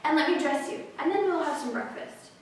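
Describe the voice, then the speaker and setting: Sad young shoeshine boy acted by a woman; high, sincere, soothing, stage storytelling, picked up from afar. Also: expressive, fairly quick. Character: sad, earnest little bootblack boy, protective of his sister. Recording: echoey room from far off, faint noise.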